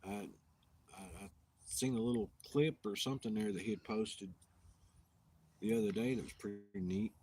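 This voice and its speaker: A man from Tennessee with a Southern accent, hosting a live outdoors stream in a halting, rambling, self-deprecating way with lots of restarts. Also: fairly low pitch, a little mellow, breathy edge. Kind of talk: casual live-stream chat.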